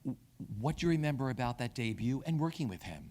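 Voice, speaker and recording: A man moderating an interview, putting prepared questions in measured, formal phrasing. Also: mid-range voice, expressive, a little mellow.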